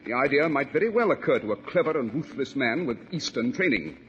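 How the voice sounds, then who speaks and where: A man playing a brisk, self-assured detective in a 1940s radio drama, theatrical and commanding with precise diction. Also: medium pitch, expressive, dull audio, close to an everyday voice. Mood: self-assured explaining. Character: brisk, self-assured male English detective, coolly unsentimental. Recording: noisy vintage 1940s radio recording.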